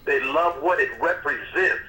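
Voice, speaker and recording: A man co-hosting a casual podcast chat, animated and tripping over restarts as he makes his point. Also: on the high side, moderate pace. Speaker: podcast co-host. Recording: echoey room.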